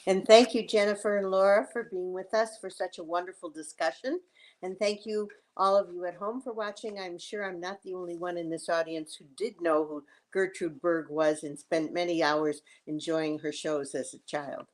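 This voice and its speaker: A woman's voice: fairly low pitch, fairly even intonation, moderate pace, low-quality recording. A woman hosting an event, giving prepared thanks and announcements to viewers. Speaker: event host giving closing remarks.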